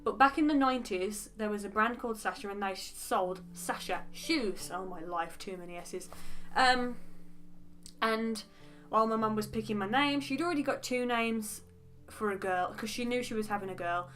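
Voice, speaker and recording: A woman's voice: medium pitch, normal speed, fairly bright tone, faint music behind. A young woman from the English Midlands with a West Midlands accent, chatting frankly and rambling with asides in a casual get-to-know-me vlog. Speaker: beauty vlogger.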